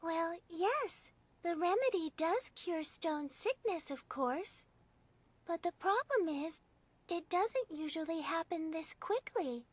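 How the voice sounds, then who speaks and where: A woman voicing a gentle, polite young winged maiden in a fantasy game, with a mild manner. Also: very high-pitched, lively intonation, takes it slowly, no echo, full character voice. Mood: gentle, curious. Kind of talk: anime-style fantasy game dialogue.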